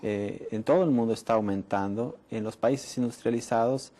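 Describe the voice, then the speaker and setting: A middle-aged man from Mexico speaks as a public health doctor in a studio interview. His voice is mellow and relaxed at an ordinary pitch, and he explains in a measured way, often pausing with "eh". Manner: measured, frequent "eh" pauses.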